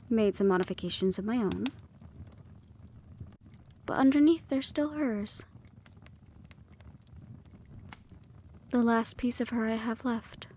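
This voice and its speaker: A woman's voice: on the high side, mellow, slight background noise. A woman voicing a defiant young female thief in an audio roleplay, teasing and sardonic, sometimes dropping to a whisper. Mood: wistful.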